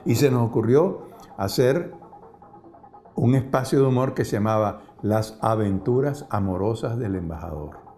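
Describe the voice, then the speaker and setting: An older man recalling past events in an interview, haltingly searching for words. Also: fairly low pitch, dark tone, soft background music. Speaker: interviewee, former guerrilla radio member. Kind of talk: spoken recollection in interview.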